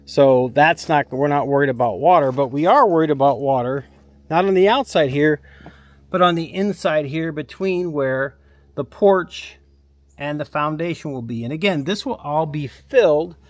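A man's voice: fairly high pitch, normal speed, no room sound. A man in his fifties giving a casual, plain-spoken instructional walkthrough as a vlog host, recorded outdoors on a handheld camera. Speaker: vlog host showing a home build. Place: outdoors at a concrete foundation construction site. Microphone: handheld camera at arm's length.

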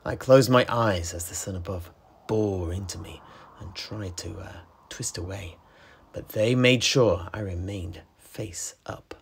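A man playing a worldly tavern keeper, recounting adventures in a husky, breathy voice with measured dramatic pauses and conversational asides. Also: low-pitched, slight background noise. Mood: grave, wry. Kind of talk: in-character fantasy storytelling.

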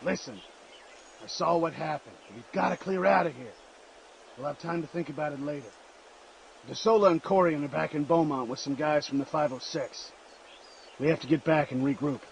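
Gravelly, blunt American army sergeant. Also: male voice, a little high, moderate pace, pauses often, a little hiss or hum, rough recording. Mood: urgent, subdued. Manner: blunt, commanding. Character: tough, no-nonsense American paratrooper sergeant giving orders.

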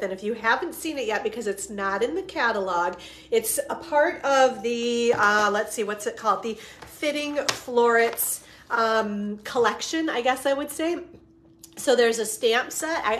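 A woman in her forties, a bit hoarse from a cold, chattily demonstrating craft supplies on a home live stream and greeting viewers by name. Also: on the high side, fairly bright tone. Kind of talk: casual live-stream demo. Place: living room at home.

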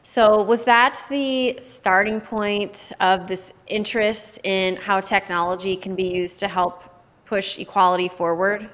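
A woman's voice: mid-range voice, fairly even intonation, measured pace, fairly bright tone. Woman interviewer in a reverberant room. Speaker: interview host. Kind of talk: conversational interviewing. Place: indoor home studio, video call. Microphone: microphone on boom arm, close.

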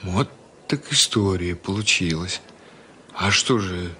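A man playing a kind, concerned young man, narrating a children's story in an expressive, conversational way. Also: low voice, slow, a little hiss or hum, full character voice. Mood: thoughtful, concerned. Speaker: narrator and lead actor.

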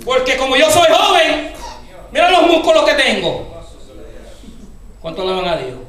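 An older man preaching a fervent sermon as pastor, often shouting and filling his speech with hallelujahs. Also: extremely high pitch, big pitch swings, moderate pace. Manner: gestures broadly while preaching at a lectern. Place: church sanctuary, indoors, medium-sized. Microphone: handheld mic, filmed by a far camera. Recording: very echoey church hall, distant camera.

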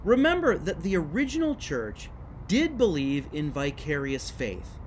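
A man's voice: fairly high pitch, slight background noise, low-quality recording. A middle-aged man giving a scripted church history lesson to camera on a screened porch, speaking emphatically and gesturing with his hands.

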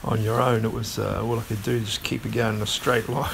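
A man, narrating his sailing trip in a relaxed, plain-spoken voice-over. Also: fairly low pitch, a little fast, creaky, slight background noise. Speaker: sailing vlogger.

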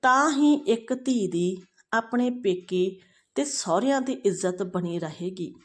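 A woman's voice: mid-range voice, expressive, unhurried. A woman narrating a moral family story as a storyteller.

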